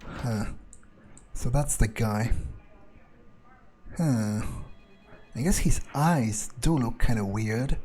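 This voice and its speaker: A man playing a wary vampire in a video game, thinking aloud in a halting, muttering way. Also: mid-range voice, mellow, faint music behind, close to an everyday voice. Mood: musing, wary. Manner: halting, musing, muttering with hums. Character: wary male vampire muttering his thoughts while exploring. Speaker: gameplay streamer playing a character. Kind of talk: in-character roleplay commentary, thinking aloud.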